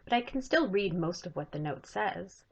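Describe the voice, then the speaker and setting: A woman's voice: mid-range voice, lively intonation, moderate pace, creaky. A woman voicing a worried, earnest young fairy-tale woman in a storybook. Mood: concerned. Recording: dull lo-fi webcam audio.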